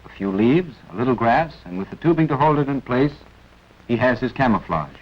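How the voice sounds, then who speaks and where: An American man narrating a 1940s military training film in an instructive, wry manner with deliberate emphasis. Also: medium pitch, expressive, highs cut off. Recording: band-limited 1940s film soundtrack.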